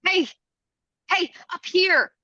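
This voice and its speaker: A woman's voice: very high voice, slow, sharp tone, highs cut off, exaggerated voice. A woman playing a desperate, vengeful young beauty queen in a horror script table read, speaking with urgency.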